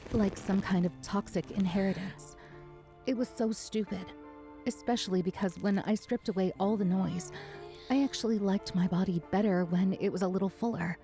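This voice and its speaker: A woman's voice: mid-range voice, natural voice. A woman playing a weary, self-conscious but defiant young woman in a first-person audio drama.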